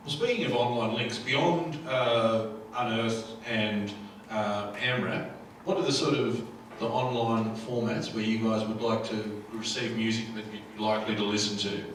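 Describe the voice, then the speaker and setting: A man's voice: lowish voice, steady tone, unhurried, fairly bright tone. A man asking casual questions as moderator of a panel workshop. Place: live workshop room. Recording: very echoey, distant mic, faint room noise.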